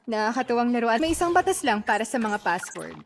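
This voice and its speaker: A woman's voice: on the high side, sing-song, fast. A woman voicing a smug, dismissive computer hacker in a video game, with faint noise in the audio. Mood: smug, mocking. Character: smug computer hacker who makes the rules. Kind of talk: game character voice lines.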